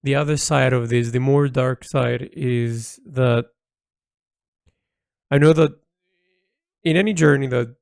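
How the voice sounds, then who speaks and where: A man speaking a reflective audio diary as an artist, halting and introspective as he searches for words. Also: medium pitch, vocal fry, a little mellow, dry recording, studio quality. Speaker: artist recording an audio log.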